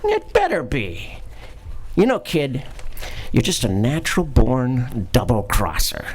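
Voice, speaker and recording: A woman's voice: very deep voice, wildly swooping pitch, slow pace, darkish tone, slightly rough, full character voice. A woman voicing a menacing, suspicious male crook in a live community radio play, talking in clipped tough-guy slang.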